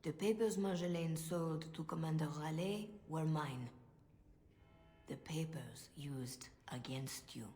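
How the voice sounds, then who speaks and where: An actress playing a calm, knowing middle-aged female cleric in a dramatic video game, measured and gentle, at times hushed. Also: low voice. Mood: confessional, steady. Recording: echoey room, faint music behind.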